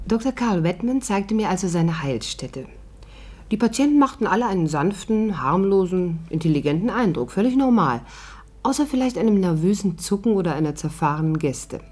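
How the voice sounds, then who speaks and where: A woman's voice: mid-range voice, animated, normal speed, a little mellow, husky. An actress playing a dignified older woman who narrates her own adventure in a composed storytelling manner for a 1950s radio play. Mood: composed, intrigued.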